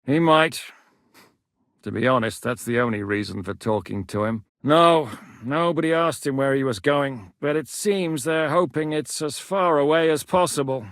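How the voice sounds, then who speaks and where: An actor playing a gruff, blunt medieval war captain who shouts battle orders. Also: male voice, medium pitch, normal speed, dry recording, studio quality, lightly acted. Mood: dry, blunt. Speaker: military commander. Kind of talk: game voice lines.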